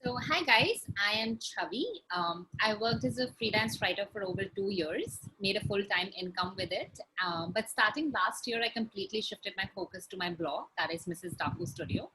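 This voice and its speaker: A woman's voice: mid-range voice, normal speed, few pauses, pushed and bright, slight background noise, poor audio. Chatty young woman with an Indian accent. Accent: Indian English. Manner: chatty, laughs between points, light fillers. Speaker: guest, blogger. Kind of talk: conversational interview answers.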